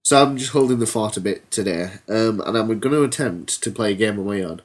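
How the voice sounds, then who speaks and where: A man's voice: lowish voice, brisk. A teenage boy hosting a homemade comedy podcast, congested from a cold, telling an absurd story and chatting with hesitant, rambling ums. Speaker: podcast host. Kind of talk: comedy storytelling and casual chat.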